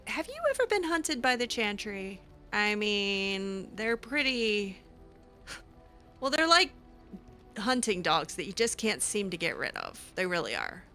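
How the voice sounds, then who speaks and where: Bright-voiced woman improvising in character. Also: mid-range voice, very animated, measured pace, soft background music. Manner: hesitant, informal, conversational. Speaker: gamer role-playing her own character. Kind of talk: improvised in-character chat over gameplay.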